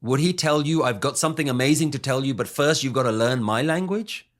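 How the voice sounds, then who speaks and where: Male spiritual speaker, mid-pitched, earnest and emphatic, leans on rhetorical questions. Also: brisk. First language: English. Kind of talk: earnest teaching talk.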